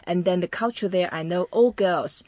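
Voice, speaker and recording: A woman from Taiwan hosting an interview, explaining words slowly and repeating them. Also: on the low side, darkish tone, no room sound, dull audio. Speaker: host teaching Chinese words. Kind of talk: interview mixed with language lesson.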